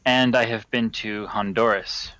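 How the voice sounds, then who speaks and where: A man's voice: lowish voice, fairly even intonation, a little slow, fairly bright tone, low-quality recording. A young American man chatting casually while playing a game with a friend. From United States. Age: young adult. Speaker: co-player in a gaming video. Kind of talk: casual chat while gaming.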